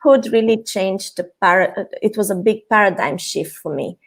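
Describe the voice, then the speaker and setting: A woman's voice: mid-range voice, moderate pace, creaky. Chatty woman from Romania, interview guest. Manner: open, chatty, laughs lightly, many fillers. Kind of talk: casual interview talk.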